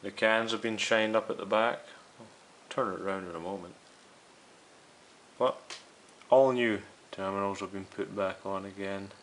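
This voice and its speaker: Male repair-video host, lowish voice, casual step-by-step explainer, faint noise and hiss behind him. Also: fairly even intonation, normal speed, a bit bright, rough recording. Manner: explanatory, addressing viewers directly. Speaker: host of a radio restoration series. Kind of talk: casual hands-on repair demonstration.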